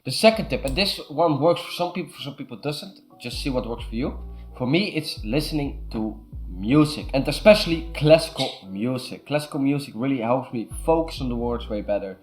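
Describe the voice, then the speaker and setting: A man's voice: medium pitch, a little mellow. A young man from the Netherlands with a Dutch accent, earnestly giving casual self-improvement advice to camera. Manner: earnest, repeats "super" and "way better".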